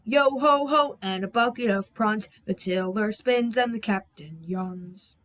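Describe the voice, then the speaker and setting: A woman's voice: mid-range voice, measured pace, a bit bright, lightly acted. A woman voicing a cheery male seafaring train engine from a storybook, with hearty, exclaiming sailor talk. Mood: hearty, excited. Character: cheery male seafaring dock engine who loves tales.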